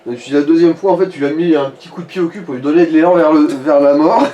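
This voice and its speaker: A man's voice: on the high side, very fast, lo-fi sound, crowd noise. A man in casual gaming chat, talking in a crude, slangy and sardonic way. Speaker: video game commentator. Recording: noticeable background noise. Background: background noise.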